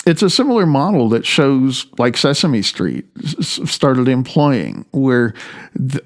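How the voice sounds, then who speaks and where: A man from the United States reading his own book as an author, in a halting, opinionated way with loose spoken asides. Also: medium pitch, creaky, darkish tone. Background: faint music.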